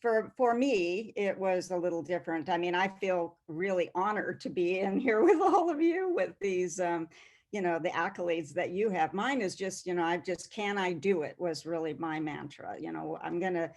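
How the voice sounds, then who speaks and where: Modest woman in her sixties, low voice. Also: darkish tone. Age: 60s. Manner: unhurried, modest, many fillers. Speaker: guest athlete. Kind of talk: conversational interview answer.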